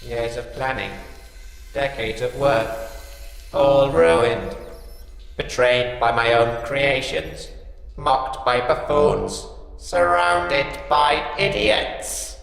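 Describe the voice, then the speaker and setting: A man's voice: mid-range voice, extremely slow, vocal fry, fairly bright tone, echoey room, big-room sound, faint music behind, full character voice, rough recording. A man playing a grandiose, villainous robot princess who makes cold, portentous pronouncements in a comic audio drama. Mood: dejected.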